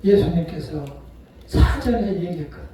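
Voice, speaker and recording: A male pastor preaching a sermon, retelling a story with acted-out voices and sharp swings between loud and soft. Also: high voice, lively intonation, normal speed, slight background noise. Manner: storytelling, acts out quoted voices. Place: church sanctuary. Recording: echoey hall from far off.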